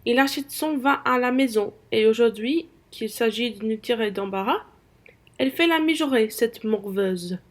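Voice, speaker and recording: A woman playing a spiteful, coarse merchant's wife in a radio play, sharp-tongued and scornful. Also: on the high side, normal speed, close to an everyday voice.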